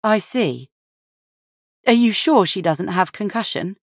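A woman voicing a kind, calm woman, a caring granddaughter in an audiobook, polite and gently earnest. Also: mid-range voice, takes it slowly, no room sound, clean studio sound, voice pushed up. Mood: polite concern.

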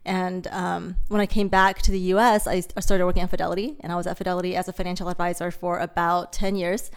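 A woman's voice: fairly low pitch, level tone, fast talker, clear, resonant tone. A woman who grew up in China and the US, answering interview questions with frequent ums and restarts. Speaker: guest, index founder.

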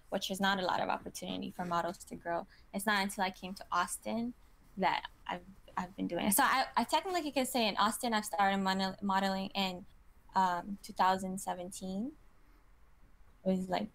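A woman in her twenties from Kazakhstan, a model answering interview questions in a casual, chatty way with lots of fillers and small laughs. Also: medium pitch. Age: 20s. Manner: chatty, giggly, many fillers like "you know".

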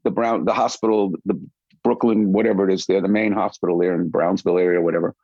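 A man's voice: low voice, steady tone, quick pace, mellow, no echo. A man from New City, a film producer chatting loosely as a guest and often repeating his words. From New York City. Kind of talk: casual conversation. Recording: dull, lo-fi, dry sound.

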